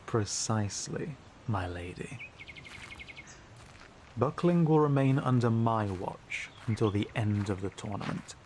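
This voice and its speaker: A man's voice: fairly low pitch, a little mellow, a little hiss or hum. A man playing a smug, scheming, haughty knight in a fantasy audio roleplay, with a pompous, mocking drawl.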